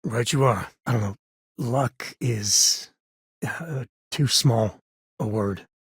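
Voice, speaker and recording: A folksy, wry space ranger, close and dry. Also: male voice, mid-range voice, slow pace, husky, dry recording. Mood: warm, hesitant. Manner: folksy, wry, conversational with hesitations. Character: rugged, easygoing male space ranger and protective father. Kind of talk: video game character dialogue.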